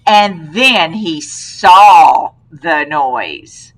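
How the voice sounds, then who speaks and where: A woman reading a picture book aloud to a young child, expressive and prompting with questions. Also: low voice, drawn-out words, rough recording.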